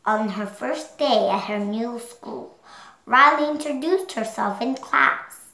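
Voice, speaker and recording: Child narrator, girl of seven, high voice, slow careful storybook reading, roomy sound. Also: pushed and bright. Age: child, 7 years old. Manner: careful, halting reading aloud. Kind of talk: read-along storybook narration.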